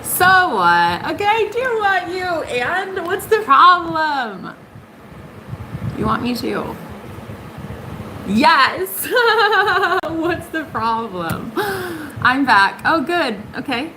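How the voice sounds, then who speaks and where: A young woman hosting a casual tarot livestream, sassy and emphatic, jumping high in pitch for punchlines. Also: big pitch swings, extremely slow, bright, forceful tone, a little hiss or hum, low-quality recording.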